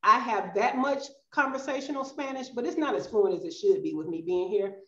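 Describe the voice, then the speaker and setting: A woman's voice: mid-range voice, animated, brisk, a bit bright. Southern woman guest, middle-aged, chatty, echoey home-room call sound. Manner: chatty, addresses listeners as y'all. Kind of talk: casual interview chat. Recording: roomy, slightly dull video-call audio.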